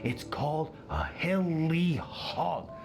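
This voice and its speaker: A man's voice: on the high side, lively intonation, slow pace. A man playing a jolly, foolish, drunken hunter father in a video game, rambling and slurring. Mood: boastful, scoffing. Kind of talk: video game character acting. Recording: game music behind the voice. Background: game music.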